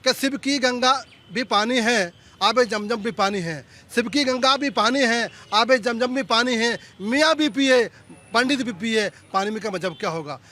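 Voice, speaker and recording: Guy declaiming with rhythmic repetition, high bright voice. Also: a little slow. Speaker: debate participant. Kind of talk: impassioned speech.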